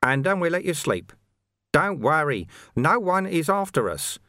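Man playing a high, lilting, chatty monk. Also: very animated, a little slow, pushed and bright, no room sound, studio quality, heavily acted. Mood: soothing. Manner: casual, soothing, lightly teasing explanations. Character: calm, chatty, placating monk guide, older man. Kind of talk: audiobook character dialogue.

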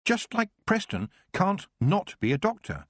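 An earnest young witch, sure of her gift yet torn inside, as a male reader voices her. The voice sits raised above his own and stays husky and heartfelt. It is recorded close and dry. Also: high voice, wildly swooping pitch, unhurried, no room sound, pitched above the performer's own voice, exaggerated voice. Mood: heartfelt, troubled.

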